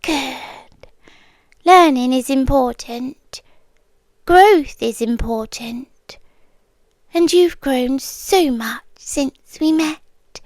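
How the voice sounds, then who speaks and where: A British woman playing a possessive, calmly menacing yandere girlfriend in an intimate ASMR roleplay, breathy and often dropping to a whisper. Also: sits high, no echo. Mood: condescending, tender. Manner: slow, deliberate, breathy, drifting into whispers.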